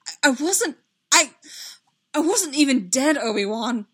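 Female reader as impulsive young male hero in story narration: agitated, emphatic, loud, fiercely attached to his friend. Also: medium pitch, big pitch swings, moderate pace, close to an everyday voice. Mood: distraught. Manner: emphatic, loud outbursts, broken repetitions. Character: agitated, hot-headed young man, distraught loyal friend.

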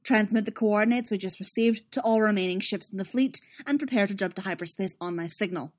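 A woman voicing a commanding female fleet admiral, urgent and sharp with a raised voice. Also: mid-range voice, brisk, natural voice. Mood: grim.

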